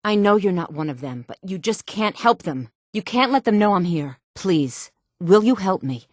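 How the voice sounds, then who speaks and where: A woman voicing a proud, secretive exiled noblewoman in a fantasy video game, with a sultry, velvety voice and measured, dramatic, formal delivery. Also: mid-range voice, expressive, no room sound, studio quality, lightly acted. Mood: fearful, pleading.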